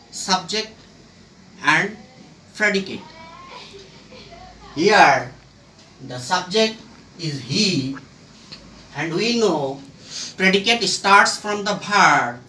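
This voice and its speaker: A teacher explaining English grammar step by step, in short halting phrases broken by pauses. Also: medium pitch, pushed and bright, slight background noise. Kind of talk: slow explanatory grammar lesson. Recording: roomy echo, distant microphone.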